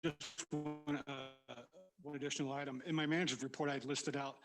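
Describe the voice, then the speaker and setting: A man's voice: mid-range voice, level tone, fairly quick, over loud music. A man reporting as town manager at a council meeting, speaking politely and deferentially to the mayor. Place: council chamber.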